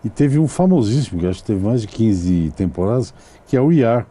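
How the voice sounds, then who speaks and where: A man's voice: fairly low pitch, lively intonation, a little fast, dark tone. A man hosting a television interview, asking long, rambling questions that wander through side remarks. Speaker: TV interviewer and host. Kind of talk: casual TV interview.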